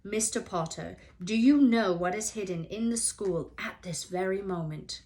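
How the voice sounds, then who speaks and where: A woman voicing a grave, wise male centaur in a storybook, speaking in a solemn, deliberate way. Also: mid-range voice, mellow, close to an everyday voice. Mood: grave, probing.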